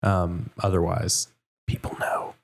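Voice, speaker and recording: Very low-voiced man from Texas, show host, rambling and casual. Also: little pitch movement, slow pace, creaky, husky. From Houston, Texas. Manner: rambling, full of fillers. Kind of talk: casual interview chat.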